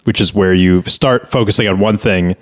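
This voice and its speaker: A man's voice: on the low side, steady tone, moderate pace. A man co-hosting a podcast in casual banter, questioning a script with amused disbelief. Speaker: podcast co-host.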